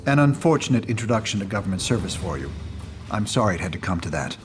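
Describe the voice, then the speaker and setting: Calm, measured man over music. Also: on the low side. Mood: measured, regretful. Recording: game music behind voice.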